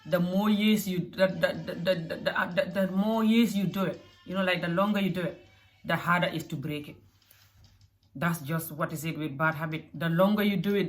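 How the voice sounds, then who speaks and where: Woman vlogger, mixed African-Scottish accent, low voice, earnest and repetitive, faint music under her. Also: a bit bright. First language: not English. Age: adult mother. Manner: earnest, repeats phrases, emphatic. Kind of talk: motivational talk to camera.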